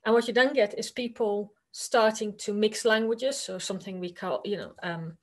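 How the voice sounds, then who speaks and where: A woman's voice: mid-range voice, steady tone, normal speed. A woman with a Dutch accent, Dutch her first language, giving an academic conference presentation over a video call. She talks in a conversational, hesitant way, with many fillers.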